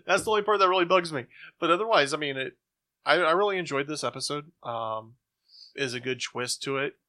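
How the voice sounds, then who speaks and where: A man's voice: on the high side, brisk, sharp tone. A man on a podcast, casually sharing his opinions in conversation. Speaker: podcast co-host.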